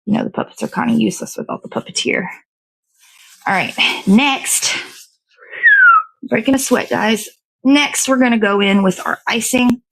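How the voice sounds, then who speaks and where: A woman's voice: fairly high pitch, moderate pace, gravelly, long pauses, poor audio. A woman hosting a casual hands-on cake decorating demo, talking to viewers and half to herself in short fragments as she works. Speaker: show host.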